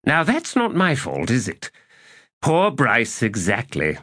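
A man's voice: mid-range voice, very animated, unhurried, fairly bright tone, no echo, clean studio sound, voice pushed up. A man voicing a whimsical, self-satisfied young king in an audiobook, with florid, mock-pompous phrasing. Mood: airy, mock indignant. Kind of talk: audiobook character dialogue.

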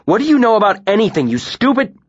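A man voicing a proud, hot-tempered young man who snaps and shouts in anger. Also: on the high side, animated, normal speed, muffled recording, pitched above the performer's own voice. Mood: furious.

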